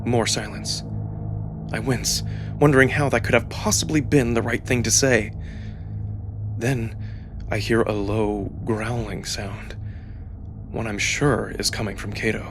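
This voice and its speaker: A man giving a suspenseful, expressive first-person narration of a dramatic story. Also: lowish voice, measured pace, pauses often. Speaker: narrator and voice performer. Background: background music.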